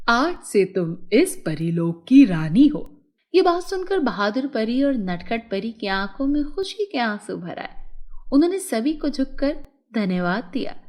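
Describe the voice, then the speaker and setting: High, animated woman storyteller, unhurried. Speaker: narrator. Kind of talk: scripted children's story narration.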